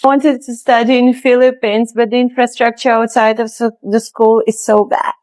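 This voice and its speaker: A woman plays a student in a short scripted skit, griping in an exaggerated way. Her voice is a little high. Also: level tone, normal speed, a little mellow.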